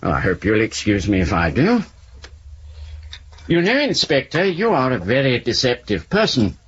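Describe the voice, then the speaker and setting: A husky, flippant English novelist. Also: male voice, medium pitch, huge pitch swings, moderate pace, a bit bright, heavily acted. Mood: urbane, teasing. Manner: wry, verbose, urbane, mock-courteous. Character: flippant, theatrical male novelist, ageing former communist. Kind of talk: 1970s radio drama acting. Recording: dull lo-fi 1970s radio recording.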